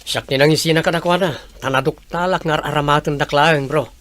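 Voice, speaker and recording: Man acting a disillusioned young bachelor, higher-pitched. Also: fairly even intonation, fairly quick, a bit bright, no room sound, lightly acted. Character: disillusioned rich young bachelor seeking true love. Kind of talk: Ilocano audio drama acting.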